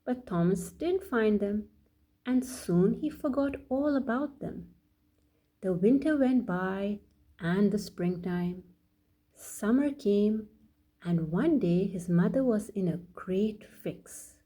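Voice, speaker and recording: A woman reading a bedtime story aloud for children as a storyteller, addressing them directly in a deliberate way. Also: mid-range voice, lively intonation, mellow. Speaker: children's storyteller and channel host.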